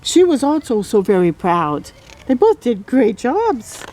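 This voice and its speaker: Slow, swooping woman reading to children. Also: on the high side, sing-song, mellow, slight background noise, rough recording. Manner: exclaims and comments encouragingly, drawing out key words. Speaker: children's read-aloud storyteller. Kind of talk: expressive picture-book reading with friendly asides to young listeners.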